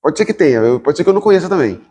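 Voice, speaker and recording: Host asking questions in a reverberant room. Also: male voice, on the high side, sing-song, very fast, mellow, slightly rough. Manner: probing, asks questions for the audience. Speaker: podcast host. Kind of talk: podcast conversation. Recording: long reverberant room sound.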